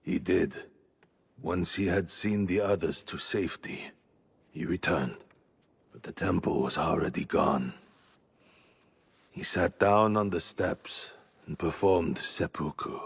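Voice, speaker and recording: A grave warrior companion from feudal Japan in a game. His voice is very deep, full of gravel and husky breath. The delivery is measured and weary. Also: heavily acted. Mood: somber. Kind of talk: dramatic video game acting.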